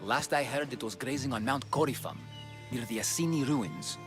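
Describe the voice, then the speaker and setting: An actor playing a grave, devout ancient Greek priest and healer with a solemn, measured manner in a video game. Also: male voice, mid-range voice, expressive, close to an everyday voice. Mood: earnest. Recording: game music behind the voice.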